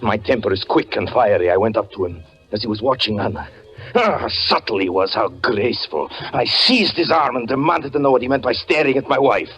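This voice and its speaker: An actor playing a haunted, doom-laden fortune teller, narrating gravely and haltingly in 1940s radio drama style. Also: male voice, mid-range voice, expressive, a bit bright. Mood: tense. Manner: grave, halting, melodramatic delivery. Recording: muffled 1940s radio recording with music.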